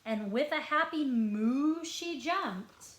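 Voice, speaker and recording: A woman reads a children's story aloud in a fairly high voice. She takes her time, phrasing each line deliberately and expressively, as a librarian does for young listeners. The room adds a noticeable echo. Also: expressive. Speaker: school librarian. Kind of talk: picture-book read-aloud to children. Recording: roomy echo.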